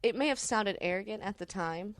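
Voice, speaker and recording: A woman telling personal stories as a podcast guest in casual conversation, halting and deliberate, with rather formal wording. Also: mid-range voice. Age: adult parent.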